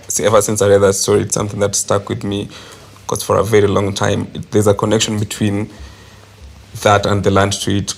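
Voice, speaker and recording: A man's voice: fairly low pitch, gravelly, a little mellow. A man with a Kenyan accent talking casually in a group discussion, switching between languages as he gives his thoughts. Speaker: workshop participant giving feedback. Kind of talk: casual discussion mixing English and Swahili. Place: in-person group table read. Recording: faint background room noise.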